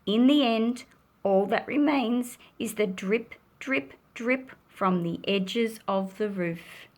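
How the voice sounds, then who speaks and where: A woman reading a children's picture book aloud in a gentle, expressive storytelling manner, with deliberate phrasing. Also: on the high side.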